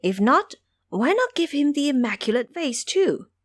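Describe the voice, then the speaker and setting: A woman's voice: high voice, very animated, moderate pace, no echo, pitched above the performer's own voice. A woman voicing a gullible, eager male imp servant in an audio storybook. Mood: excited.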